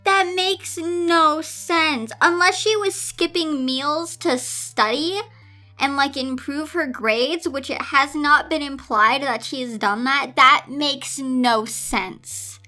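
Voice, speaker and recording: A woman's voice: high-pitched, slow pace, sharp tone, soft background music. A woman reading a story aloud dramatically, with casual, incredulous asides and theatrical exasperation. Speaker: host reading and reacting to fan fiction.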